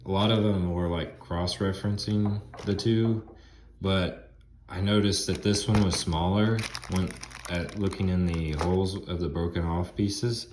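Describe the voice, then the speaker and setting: A man's voice: low-pitched, fairly even intonation, measured pace, a little mellow, a little hiss or hum. A man in his thirties, a bit stuffy from a head cold, talking through a truck repair in a casual, folksy how-to style, recorded on a phone in his home garage. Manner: chatty, folksy, loose grammar. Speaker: DIY auto repair host. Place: home garage, by an open door. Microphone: phone camera close by, at arm's length.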